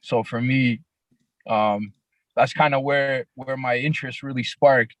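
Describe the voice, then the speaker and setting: A raspy young man with a Toronto accent, a rapper guest talking in a laid-back, halting way with many fillers. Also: mid-range voice, steady tone, no echo. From Canada, grew up in Toronto. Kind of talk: casual podcast interview.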